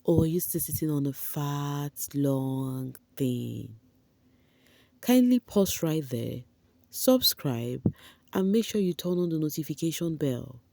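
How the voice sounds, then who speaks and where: Low-voiced woman gossiping breezily. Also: expressive, takes it slowly, gravelly, darkish tone, no echo. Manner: breezy, gossipy, with slangy asides and "you know" fillers. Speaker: entertainment vlogger. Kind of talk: chatty gossip recap to camera.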